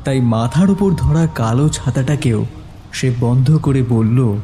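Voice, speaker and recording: A man's voice: medium pitch, measured pace, dark tone. A man narrating a scripted horror story in a suspenseful storytelling style. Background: background music.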